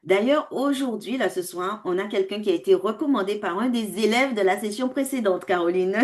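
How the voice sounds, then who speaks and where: A woman hosts an online meeting for parents, her voice mid-pitched. She talks in an animated way, laughs often and shifts between quick and slow delivery. The sound comes through a video call. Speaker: host of a parents' club.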